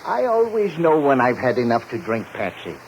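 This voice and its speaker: An actor playing an aging, boozy barfly philosopher in a 1940s radio drama, speechifying in a florid, pompous, mock-tragic way. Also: male voice, medium pitch, wildly swooping pitch, moderate pace. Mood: tipsy, jovial. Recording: muffled, noisy 1940s radio recording.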